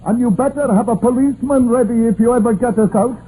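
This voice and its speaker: An actor playing a trapped miner, urgent and insistent, in an old radio drama. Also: male voice, extremely high pitch, fast, dark tone. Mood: urgent, strained. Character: distressed trapped Welsh miner on a phone. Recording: muffled old radio broadcast, hissy.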